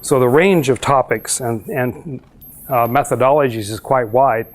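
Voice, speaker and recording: Measured middle-aged male professor presenting. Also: mid-range voice. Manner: measured, explanatory, frequent filler pauses. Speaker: university professor presenting a research exchange program. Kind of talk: slide presentation to an audience.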